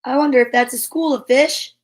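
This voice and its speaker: A woman's voice: high voice, steady tone, a little fast. A woman hosting a live children's story time, reading aloud and chatting to viewers with playful, encouraging exclamations. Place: at home.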